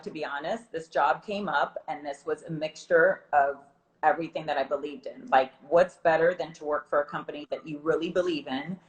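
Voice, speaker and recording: A woman's voice: low-pitched, rough recording. A woman guest in a casual video-call interview, chatty and upbeat, from South Florida. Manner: chatty, upbeat, laughs easily. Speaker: guest, program representative.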